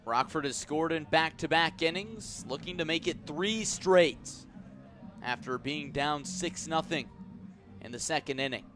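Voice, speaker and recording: A man calling a baseball game live as a radio play-by-play announcer, with measured, descriptive delivery that lifts when the action picks up. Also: on the high side. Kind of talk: live sports commentary.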